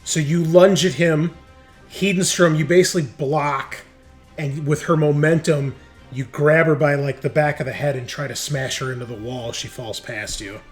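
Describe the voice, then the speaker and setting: Higher-pitched man running a tabletop game. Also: fairly even intonation, moderate pace, faint music behind. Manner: casual, descriptive, with frequent "like". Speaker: game master of a tabletop roleplaying game. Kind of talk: improvised game narration and rules calls.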